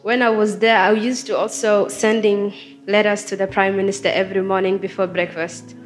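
A woman's voice: mid-range voice, little pitch movement, over music. Young Somali-accented woman telling her story. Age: young adult. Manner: informal, halting phrasing with frequent "like". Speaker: interview guest, student and storyteller. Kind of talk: casual personal storytelling. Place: studio.

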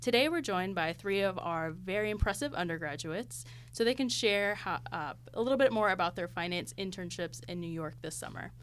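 Young woman hosting a podcast interview, kinda low voice, noisy background. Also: animated, brisk, hardly any pauses, a bit bright. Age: young adult. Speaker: podcast host. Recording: clearly audible background noise.

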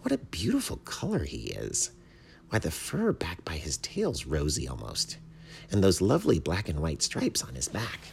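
A man voicing a gentle, earnest, modest bat who is a poet in a children's storybook. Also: low-pitched, wildly swooping pitch, moderate pace, gravelly, a little hiss or hum, heavily acted. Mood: admiring. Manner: earnest, thoughtful, mild. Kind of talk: children's storybook read aloud.

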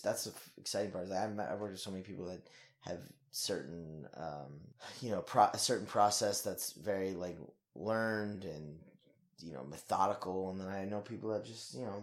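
Rambling energetic young man, lowish voice. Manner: energetic, rambling, frequent fillers and swearing. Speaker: actor. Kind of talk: casual interview.

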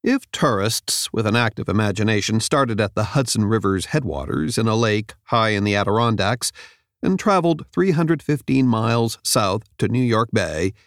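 A man reads a nonfiction audiobook in a measured, clearly enunciated way, close to the microphone. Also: on the low side, big pitch swings, no room sound. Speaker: audiobook narrator. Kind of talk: scripted nonfiction audiobook narration. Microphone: close studio microphone.

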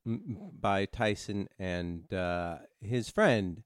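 Halting, casual male podcaster. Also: fairly low pitch, sing-song, no room sound, clean studio sound. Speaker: podcast co-host. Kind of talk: casual chat.

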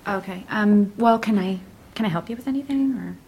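Creaky-voiced, tentative gallery attendant. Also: female voice, medium pitch. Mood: flustered. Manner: tentative, soft-spoken, halting. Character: earnest, polite new female gallery attendant. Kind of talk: naturalistic audio drama acting.

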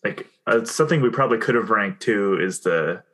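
A man's voice: fairly low pitch, lively intonation, fairly quick, fairly bright tone. A man co-hosting a casual movie podcast, rambling with restarts and frequent laughs. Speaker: podcast co-host.

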